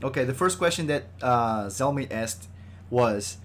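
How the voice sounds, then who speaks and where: A man's voice: mid-range voice, expressive, a little slow. A man hosting a casual podcast livestream, joking and loose with a groggy voice. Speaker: podcast host.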